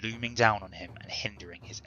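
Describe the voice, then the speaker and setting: A man's voice: fairly low pitch, a little fast, bright, forceful tone, dull audio, slight background noise. A man delivering a scripted history introduction in a formal, emphatic, lecturing way. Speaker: presenter introducing a dramatic reading.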